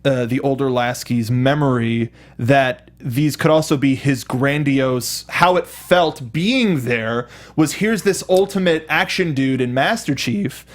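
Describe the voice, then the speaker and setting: A man co-hosting a review show in casual, animated chat, often acting out imagined lines and reactions as he talks. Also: medium pitch, a little slow, few pauses. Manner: acts out imagined lines and reactions while talking. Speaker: co-host of a film review show.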